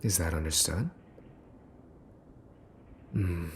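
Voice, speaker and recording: A man acts out a mafia boss's son for an ASMR roleplay, in a very low voice. He speaks slowly and deliberately, repeating himself with theatrical sarcasm and polite menace. The sound is roomy and echoing. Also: creaky, exaggerated voice. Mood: teasing, menacing. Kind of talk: ASMR boyfriend roleplay acting. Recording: roomy echo, faint background noise.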